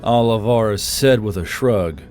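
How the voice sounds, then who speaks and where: A man's voice: fairly low pitch, a little slow, a little mellow. A man reading scripted fantasy fiction as the narrator of an audiobook. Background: music.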